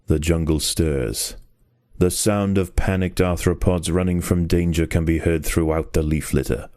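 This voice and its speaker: A man performing a solemn nature documentary narrator for a game, measured and ominous in delivery. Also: deep voice, warm tone, no room sound, studio quality. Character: grave nature documentary narrator of ant wars.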